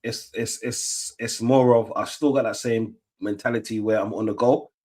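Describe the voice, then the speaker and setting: Slangy man, lowish voice. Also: fairly even intonation, normal speed, darkish tone. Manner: slangy, rambling with many fillers and restarts. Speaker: stream host. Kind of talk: casual watch-along chat.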